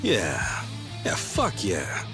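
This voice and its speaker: A brash, wisecracking young yakuza buddy in a game dub. His pitch swings widely, though he talks at an unhurried pace. He is teasing and excitable and swears freely, with game music playing clearly behind him. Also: exaggerated voice. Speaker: game character. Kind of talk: English video game dub. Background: game soundtrack music.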